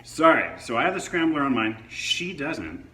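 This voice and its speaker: A man's voice: on the high side, very animated, normal speed, bright, forceful tone, soft background music. A man reviewing a product in a casual, hands-on demo, explaining features informally. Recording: echoey room with faint background noise.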